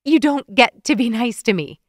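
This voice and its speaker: A woman's voice: high-pitched, animated, moderate pace, fairly bright tone, dry recording, studio quality, voice pushed up. A woman voicing a heartbroken, flustered young woman who blurts out her feelings in tearful outbursts. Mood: upset.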